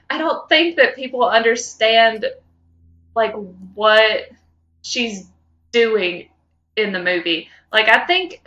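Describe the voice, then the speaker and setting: A woman's voice: a little high, bright, forceful tone, highs cut off. A woman co-hosting a podcast in casual fan chat, speaking in an emphatic, opinionated way. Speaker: podcast co-host.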